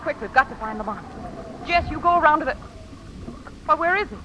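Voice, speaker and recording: A woman's voice: sits high, lively intonation, a little fast, highs cut off. An actress playing a bold young lady adventurer in a 1930s radio drama style. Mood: anxious, urgent. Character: bold young lady stowaway, anxious companion of the hero. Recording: noisy old radio drama recording.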